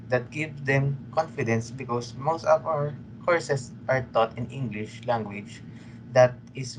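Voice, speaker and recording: A young man with a Filipino accent, a student sharing his view in a class group discussion, pausing often with fillers. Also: mid-range voice, a little hiss or hum, low-quality recording. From Philippines. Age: young adult.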